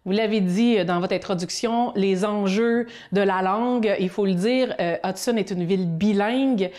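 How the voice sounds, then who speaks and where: A woman hosting a TV interview in a studio, asking questions politely and formally, with pauses. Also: medium pitch, a little mellow. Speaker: host. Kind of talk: TV talk show interview.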